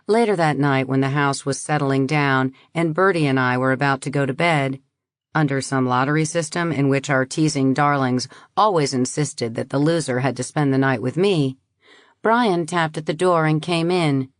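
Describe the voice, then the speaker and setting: A woman narrating a novel in the first person, in a lively, incredulous way. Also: low-pitched, normal speed, no echo, clean studio sound. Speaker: audiobook narrator.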